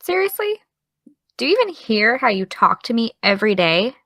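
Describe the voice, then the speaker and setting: A woman's voice: on the high side, sing-song, normal speed, a bit bright, no room sound, studio quality, full character voice. A woman playing a needling, indignant young American woman in an audio drama, petulant and provoking. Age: young adult.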